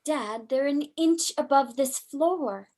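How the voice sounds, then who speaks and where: Lady doing a perky young daughter, high voice, eager and teasing. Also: slow pace, a little mellow. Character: young bride-to-be daughter, curious, spirited. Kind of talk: old-time radio drama acting.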